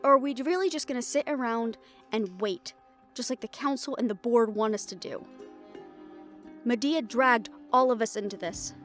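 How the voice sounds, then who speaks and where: A woman's voice: on the high side, moderate pace, close to an everyday voice. An actress playing a determined, rule-bending woman hunter in a fantasy audio roleplay, speaking insistently and persuasively. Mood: urgent, resolute. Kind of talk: scripted audio roleplay drama. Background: background music.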